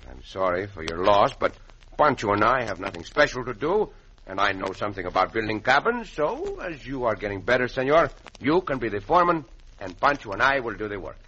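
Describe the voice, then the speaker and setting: A man's voice: lowish voice, sing-song, fairly quick, bright, forceful tone, muffled recording. A man playing a gallant, kindly Mexican cowboy hero in an old radio western, courteous, with a Mexican accent and Spanish words mixed in. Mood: soothing. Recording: faintly noisy old radio recording.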